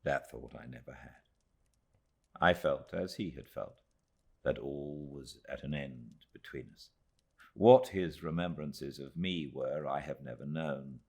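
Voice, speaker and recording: A British man reading a literary audiobook in measured, dramatic phrases with deliberate pauses. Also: rumbling low, vocal fry, breathy edge. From Britain. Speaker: audiobook narrator.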